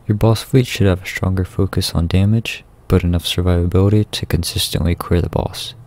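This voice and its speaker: A man reading a scripted video game guide in a measured, instructional way. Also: sits low, darkish tone. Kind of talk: scripted guide narration over gameplay.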